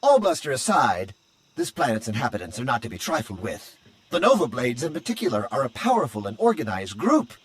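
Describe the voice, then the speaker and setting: Male actor as chatty protocol droid, prim, excitable, formal, very animated pitch, video game character. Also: brisk, close to an everyday voice. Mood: earnest. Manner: prim, exclamatory, formal diction.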